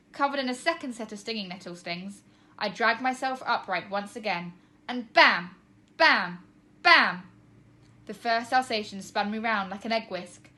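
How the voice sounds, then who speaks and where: A woman narrating a children's storybook as a teacher reading aloud, with expressive, emphatic storytelling. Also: on the high side.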